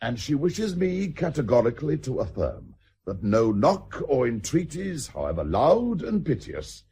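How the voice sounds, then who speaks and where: An actor playing a courtly, discreet lord who speaks in an ornate, polite, formal way, in a radio drama. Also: male voice, medium pitch, big pitch swings, a little slow, warm tone, breathy edge, full character voice. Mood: apologetic, formal.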